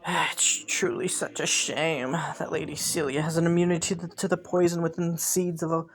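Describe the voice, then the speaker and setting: A man playing an ambitious young prince in a romantic fantasy audio roleplay, with formal, courtly phrasing and soft hesitations, scheming yet earnest. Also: a little high, flat delivery, normal speed, natural voice, rough recording. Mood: businesslike, scheming.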